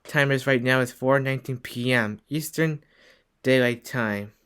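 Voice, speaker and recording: A man's voice: mid-range voice, a little slow, a bit bright, clear, resonant tone, natural voice. A man playing a local news anchor in an amateur disaster drama, announcing with slight stumbles. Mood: grave. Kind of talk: amateur emergency news broadcast acting.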